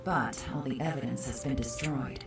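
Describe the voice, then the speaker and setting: A low-voiced, cool spy. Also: female voice, normal speed, recorded from a distance. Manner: cool, knowing, dry. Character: sly, self-assured female spy. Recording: roomy game audio with music.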